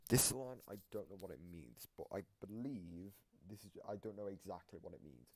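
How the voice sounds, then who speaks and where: Deep-voiced man explaining, with noisy background. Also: very animated, brisk. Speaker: video commentator explaining game voice lines. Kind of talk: informal explanatory commentary.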